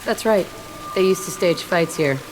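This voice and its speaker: A woman's voice: fairly low pitch, normal speed, noisy background, natural voice. An actress playing a tough, bitter young woman, speaking blunt and sardonic.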